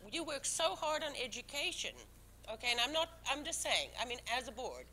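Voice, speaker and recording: Forceful woman commissioner, English second language. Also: high-pitched, sing-song, a little fast, slight background noise, low-quality recording. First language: not English. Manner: emphatic and defensive, with frequent restarts. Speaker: town commissioner. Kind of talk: speaking at a public board meeting. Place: town council meeting room.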